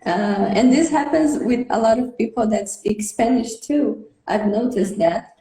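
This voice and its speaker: A woman's voice: on the high side, measured pace, mellow. A woman in her thirties from Brazil, a native Portuguese speaker, chatting earnestly as a guest on a video call. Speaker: guest, English teacher. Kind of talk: casual interview chat. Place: home room with patterned wall. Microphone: webcam on video call. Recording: echoey room on video call.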